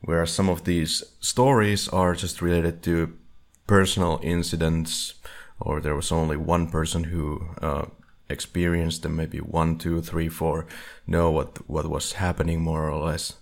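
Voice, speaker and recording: Very deep, creaky-voiced man. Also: flat delivery, measured pace. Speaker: podcast co-host. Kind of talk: casual analytical discussion.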